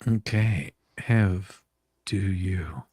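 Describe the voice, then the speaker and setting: Man reading as a slow, husky-voiced questioning attorney. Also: low voice, a little mellow, dry recording, clean studio sound, exaggerated voice. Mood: questioning, patient. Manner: halting, repeats words, easily amused. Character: questioning male attorney in a deposition. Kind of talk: amateur dramatic reading of a legal deposition.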